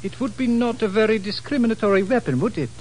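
An actor playing a formal, skeptical colonel in an old-time radio drama. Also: male voice, sits high, animated. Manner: formal, courteous, measured wording. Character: formal, skeptical Portuguese colonel in charge of security. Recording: slightly noisy vintage radio recording.

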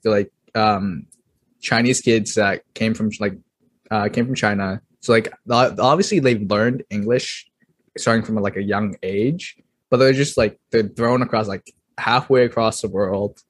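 A young American man in casual chat, halting and fumbling through his sentences with constant "like" fillers. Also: on the low side, husky, no echo. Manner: halting, heavy use of "like", trailing off.

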